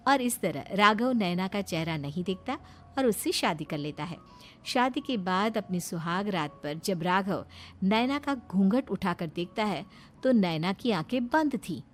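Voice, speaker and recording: A woman's voice: mid-range voice, animated, measured pace, slight background noise. A woman reading scripted narration for a cartoon moral story. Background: music under the voice.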